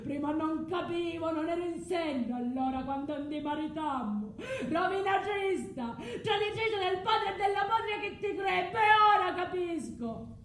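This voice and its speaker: A woman's voice: very high-pitched, measured pace, few pauses. A woman playing an anguished, furious betrayed husband and father in a radio drama, declaiming with a Calabrian accent. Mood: accusing, anguished. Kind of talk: theatrical radio drama monologue. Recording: echoey room, faint background noise.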